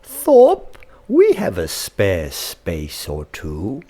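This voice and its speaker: A man's voice: low-pitched, wildly swooping pitch, very slow, dark tone, full character voice. A man voicing a wise, kindly old rabbit in a children's story, with a grand, genial, theatrical manner. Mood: genial welcome. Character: wise, kindly old grey-whiskered male rabbit hermit.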